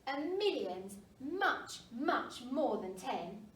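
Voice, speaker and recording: A woman's voice: sits high, very animated, extremely slow, exaggerated voice. A woman voicing a patient, encouraging mother penguin in a children's storybook, with emphatic phrasing. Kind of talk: children's storybook read aloud. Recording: roomy, distant mic, faint noise.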